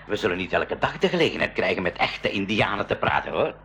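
An actor playing an eager, trusting professor uncle in a school radio play, with exclamatory delivery. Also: male voice, deep voice, quick pace, heavily acted. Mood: cheerful, trusting. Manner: animated, exclamatory. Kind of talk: Dutch-language school radio play acting. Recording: 1980s radio play with clear background noise.

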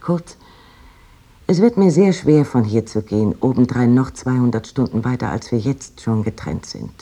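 Husky, lovesick letter writer. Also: male voice, medium pitch, animated, moderate pace, mellow. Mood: wistful, tender. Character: lovesick, yearning letter writer pining for a distant beloved. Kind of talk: dramatic reading of 19th-century letters.

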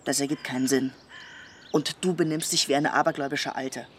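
A man's voice: medium pitch, fairly even intonation, fairly quick, close to an everyday voice. A male actor playing a blunt, bitter, estranged man in a German radio drama, curt and controlled. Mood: reproachful. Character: bitter, blunt man, estranged old friend grieving his brother. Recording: clear ambient noise behind voice.

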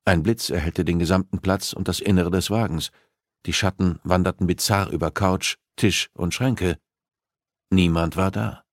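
Male audiobook narrator, fairly low register, dry sound, scripted dramatic suspense storytelling. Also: steady tone, normal speed, no room sound.

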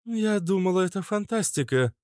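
Мужчина-чтец озвучивает бойкую, эмоциональную молодую женщину с восклицательной манерой речи. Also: male voice, sits high, expressive, moderate pace, darkish tone, no room sound, studio quality, pitched above the performer's own voice. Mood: astonished.

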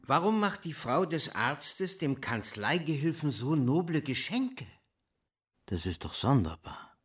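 Pompous, swooping small-town busybody. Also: male voice, a little high, big pitch swings, a little slow. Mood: nosy, suspicious. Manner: pompous, declaiming, emphatic. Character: pompous, nosy, self-important small-town male pharmacist. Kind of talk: German radio play acting. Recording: long hall reverb.

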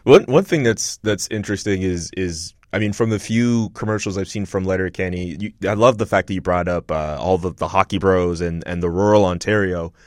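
A man's voice: low voice, brisk, hardly any pauses, no echo, studio quality. A young man from Montreal hosting a podcast, chatting loosely with many fillers and restarts. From Montreal, Canada. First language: not English; French is his second language. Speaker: podcast host. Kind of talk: casual interview chat.

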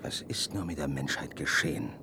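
Deep-voiced, brooding space commander. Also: male voice, animated, vocal fry, noisy background, heavily acted. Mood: defensive. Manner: theatrical, emphatic, deliberate phrasing. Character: aged male spaceship leader, brooding, sarcastic, self-assured. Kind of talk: German radio play acting.

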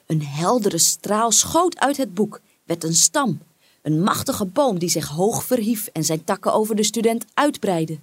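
A woman reading a fairy tale as an expressive storyteller, with dramatic, deliberate phrasing. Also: mid-range voice, slightly rough, no room sound.

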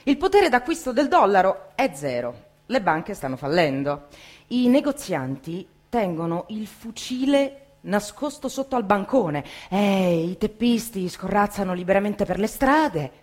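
A woman reciting a dramatic theatrical monologue in an impassioned, emphatic way, with deliberate pauses. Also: medium pitch, very animated, darkish tone.

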